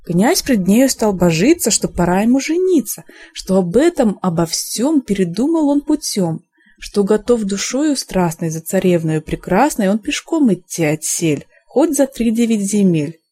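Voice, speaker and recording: A woman reads a fairy tale in rhythmic verse as a storyteller. Her voice is mellow and slightly husky, with very lively, expressive intonation. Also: medium pitch, measured pace.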